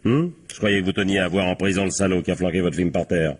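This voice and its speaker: Husky, insistent police captain. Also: male voice, low voice, steady tone, fast. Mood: sardonic, probing. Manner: colloquial, pressing, prodding with short tag questions. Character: male police captain, insistent, sardonic interrogator. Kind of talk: French radio crime drama acting.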